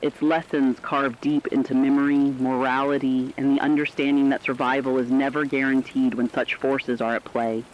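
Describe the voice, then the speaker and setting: A man's voice: mid-range voice, little pitch movement, moderate pace, hardly any pauses, lo-fi sound, lightly acted. A man playing a radio anchor who solemnly addresses listeners in formal, portentous scripted horror narration. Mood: somber, ominous. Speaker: radio host.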